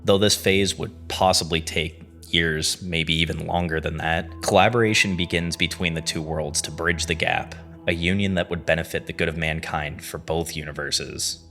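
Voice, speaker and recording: A man with an extremely low, bright and forceful voice narrates a scripted story as a host. His phrasing is deliberate and dramatic, with pauses for weight, over clearly audible music. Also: fairly even intonation. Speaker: narrator and host of a horror series review.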